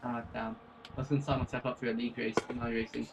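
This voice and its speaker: A man's voice: mid-range voice, little pitch movement, very fast, lo-fi sound. A man co-commentating a sim race, joking and laughing through casual banter. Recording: distant mic with faint noise.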